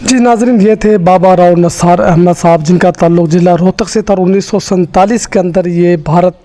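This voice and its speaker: A man's voice: high voice, fairly even intonation, normal speed, warm tone. A man with a Pakistani accent working as a TV interviewer, politely questioning and formally addressing viewers. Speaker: TV interviewer, reporter.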